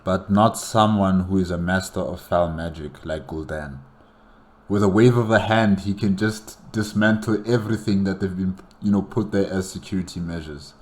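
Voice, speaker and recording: A man's voice: low voice, normal speed, a little mellow. A man giving casual, talkative reaction commentary.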